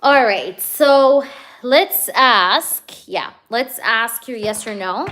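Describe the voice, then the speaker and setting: A woman doing a live tarot reading for a viewer. She talks in a chatty, energetic way, full of "you know" and "okay", and keeps checking whether things make sense to the viewer. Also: fairly high pitch, extremely slow. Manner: addresses the viewer directly, asks if things resonate. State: hyper, energetic. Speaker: tarot reader.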